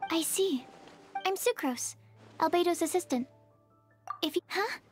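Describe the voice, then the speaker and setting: A shy young alchemist's assistant in an anime game, high-voiced and easily flustered. The speech is polite and hesitant, breaking into stammers and apologies. Music plays behind it. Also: female voice, animated, a little slow.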